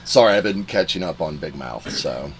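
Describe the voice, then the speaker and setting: Deep voiced guy hosting a chatty podcast, jokes around a lot, faint hiss. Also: lively intonation, normal speed. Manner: joking, acts out quotes in silly voices. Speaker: podcast host. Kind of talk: casual chat.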